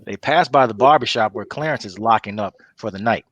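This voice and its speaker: A man's voice: lowish voice, a little fast, fairly bright tone, slightly rough, no room sound, dull audio. A man reading stage directions as narrator at a script table read.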